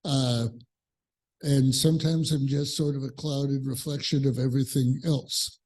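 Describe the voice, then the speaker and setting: A man's voice: mid-range voice, steady tone, a little slow, darkish tone. A man from a congregation offering informal, thoughtful commentary on a reading. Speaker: congregation member sharing a reflection.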